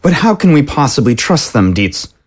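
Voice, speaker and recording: Male, actor as pompous mayor, formal, incredulous, very animated pitch, audio drama. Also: normal speed, breathy edge. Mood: alarmed. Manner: formal, incredulous, theatrical phrasing. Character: suspicious, pompous male town mayor questioning refugees.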